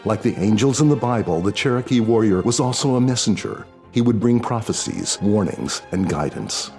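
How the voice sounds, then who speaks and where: A man reading his own novel as a scripted audiobook, dramatic and solemn in a deliberate storytelling manner. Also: fairly low pitch, lively intonation, darkish tone, soft background music.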